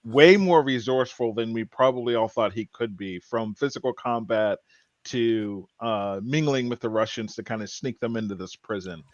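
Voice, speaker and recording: A man with a mid-pitched voice chats casually as a podcast host. He talks in a halting way, often doubling back on words. The sound is close and dry, slightly dull and lo-fi. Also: dry recording. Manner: halting, repeats words as he thinks. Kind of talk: casual TV show discussion.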